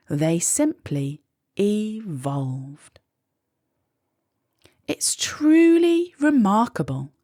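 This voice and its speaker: Female storybook reader, very slow pacing, lively varied intonation, dramatic rhyming read-aloud, dry close sound. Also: mid-range voice, no echo.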